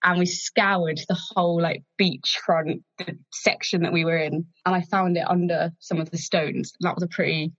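Co-host: young woman, 20, low pitch, slightly dull, dry sound, giggly. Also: fairly even intonation, moderate pace, hardly any pauses, a bit bright, no room sound. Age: young adult. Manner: light, giggly, encouraging. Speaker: podcast co-host. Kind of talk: casual podcast chat.

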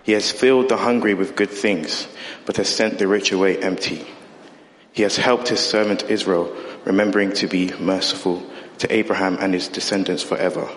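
A low-voiced man reads scripture and prays in church in an earnest, slightly halting way. The room is echoey with a long reverb, and faint ambience sits behind him. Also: fairly even intonation, slight background noise. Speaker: church member leading reading and prayer. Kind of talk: scripture reading and prayer. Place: church building.

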